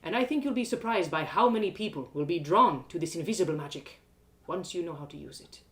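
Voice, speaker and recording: A woman voicing a gentle, wise male mentor in a fantasy story, measured and reassuring. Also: fairly low pitch, expressive.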